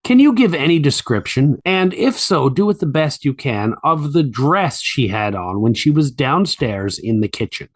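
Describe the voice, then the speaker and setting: A man's voice: mid-range voice, very animated, normal speed, hardly any pauses, slightly rough. A man playing a methodical prosecuting lawyer, asking formal, precise questions in a trial transcript reenactment. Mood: probing, formal. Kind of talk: audio reenactment of a court transcript.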